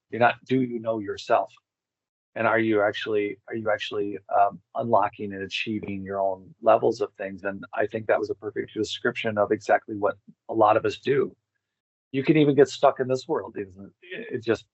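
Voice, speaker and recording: A man speaking as an interview guest, thinking aloud in a casual, reflective way and halting as he searches for words. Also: lowish voice, no room sound. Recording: dull, slightly lo-fi, dry sound.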